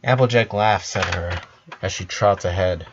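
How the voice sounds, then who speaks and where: A man's voice: low voice, normal speed, background noise. A man narrating a story from a script, on a slightly dull recording.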